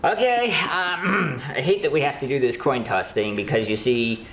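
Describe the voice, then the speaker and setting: A man's voice: medium pitch, normal speed, vocal fry. A man co-hosting a horror news show with casual, bantering talk. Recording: roomy echo, faint background noise.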